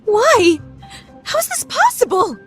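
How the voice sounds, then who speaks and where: A woman's voice: extremely high pitch, huge pitch swings, a little slow, soft background music, heavily acted. A woman playing a proud, scheming teenage student council vice president in an anime radio play, formal and theatrical. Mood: flustered, indignant. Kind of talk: anime fan dub radio play acting.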